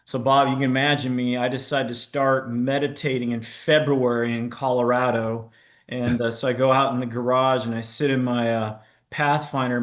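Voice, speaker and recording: A middle-aged man talks through his own story in a relaxed interview, frank and self-mocking. His voice sits at an ordinary pitch, and he often trips and restarts mid-sentence. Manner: candid, self-deprecating, with stammered restarts. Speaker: podcast guest, entrepreneur.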